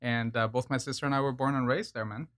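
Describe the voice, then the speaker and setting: A man in his thirties from Venezuela, a guest chef chatting casually in an interview, relaxed and laughing often between fillers. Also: medium pitch, fast talker.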